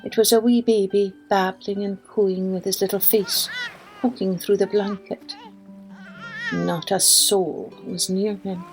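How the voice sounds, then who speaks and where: Slow-paced woman telling a children's story. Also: mid-range voice, flat delivery, over music, rough recording. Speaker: storyteller, podcast host.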